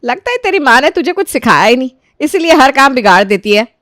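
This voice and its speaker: A woman acts out a domineering middle-aged mother-in-law from a cartoon tale. She nags and accuses in a sharp, theatrical way, pushing her voice up, with a close, dry sound. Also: high voice, very animated, quick pace, no echo, pitched above the performer's own voice. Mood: angry, accusing. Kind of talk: cartoon moral-story voice acting.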